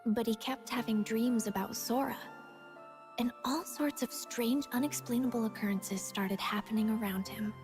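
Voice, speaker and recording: A woman reading scripted narration for a video game story recap in a measured, solemn storytelling manner. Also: fairly high pitch, steady tone, music in the background.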